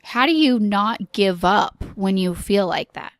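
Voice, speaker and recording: Woman podcast host, mid pitch, unhurried, sincere and encouraging, dry close mic. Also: animated, no echo. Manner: earnest, encouraging, slow with pauses and filler ums. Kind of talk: solo podcast monologue.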